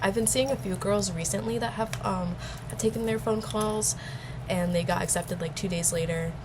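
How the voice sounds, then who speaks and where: A woman's voice: medium pitch, steady tone, moderate pace, noisy background. A young adult woman chatting casually in a nervous, hesitant, rambling way. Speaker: vlogger and interviewee. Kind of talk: casual chat.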